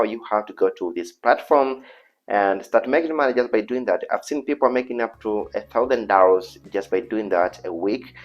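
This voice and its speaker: A man with a Tanzanian accent, a native Swahili speaker, presenting an informal tutorial in an earnest, repetitive, persuasive way. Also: fairly low pitch. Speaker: online video host. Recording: dull lo-fi voice recording with music. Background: background music.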